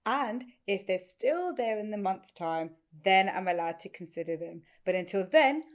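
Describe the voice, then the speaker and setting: An actress plays a caring woman with a firm, teasing streak. Her voice is medium-pitched, and she speaks in natural audio play dialogue. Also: lively intonation, normal speed, natural voice.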